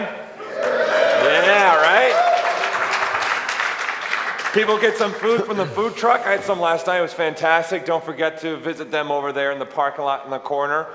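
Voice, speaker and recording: Energetic man hosting in an echoey hall. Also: fairly high pitch. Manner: joking, energetic, chatty. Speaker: event host. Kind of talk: live conference announcements. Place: large event hall with audience. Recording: echoey hall, faint room noise.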